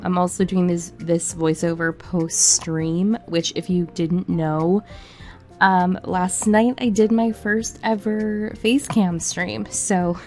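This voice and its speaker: A woman's voice: fairly low pitch, darkish tone. A woman recording a casual, chatty gaming voiceover while sick with a cough, her voice a little cracked. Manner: chatty, informal, conversational. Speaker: gaming video creator. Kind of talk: casual voiceover commentary on a game build. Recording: music behind the voice. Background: background music.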